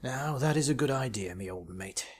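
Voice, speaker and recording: A man's voice: mid-range voice, expressive, normal speed, breathy edge. A man voicing a plump, grumbling, tippling accountant who mutters to himself in a story reading. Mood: pleased muttering. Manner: muttering to himself under his breath. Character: plump, grumbling, boozy male city accountant.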